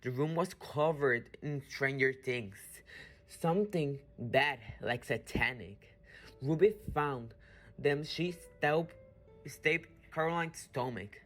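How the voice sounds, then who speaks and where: A woman reading scripted radio drama narration haltingly, correcting small slips as she goes. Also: extremely low pitch. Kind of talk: scripted narration, read aloud. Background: music.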